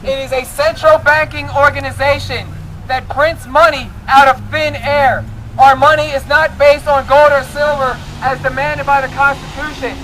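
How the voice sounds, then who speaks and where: A man's voice: very high voice, sharp tone, rough recording. A man giving an insistent political street speech through a bullhorn, firing rhetorical questions at passersby as an activist. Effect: amplified through a bullhorn. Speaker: political activist campaigning in public. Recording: outdoor street recording with clear traffic noise.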